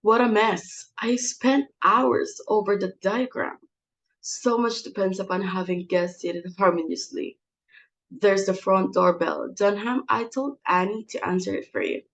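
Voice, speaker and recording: A woman's voice: mid-range voice, measured pace, fairly bright tone, poor audio. A woman playing a snobbish, easily exasperated society mother and hostess in a dramatic reading of a stage comedy, with a fussy, scolding delivery. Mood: flustered, fussy.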